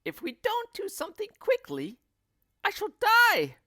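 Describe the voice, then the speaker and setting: A man's voice: very high voice, wildly swooping pitch, measured pace, pushed and bright, no room sound, clean studio sound, voice pushed up, exaggerated voice. A man voicing a nasty, hysterical wife from a children's storybook, screechy and scolding. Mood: panicked.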